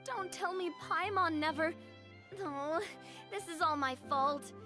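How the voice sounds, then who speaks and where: A woman's voice: extremely high pitch, slow pace, over music. An actress voicing a curious, teasing young woman in game dialogue. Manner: bright and teasing.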